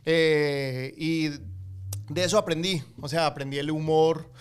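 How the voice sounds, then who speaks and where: A middle-aged man with a Colombian accent, telling his life story as a guest in a relaxed interview, full of fillers and restarts. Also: a little high, a little slow, clear, resonant tone. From United States, Colombian family. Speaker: guest, actor and coffee entrepreneur. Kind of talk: casual interview storytelling in Spanish.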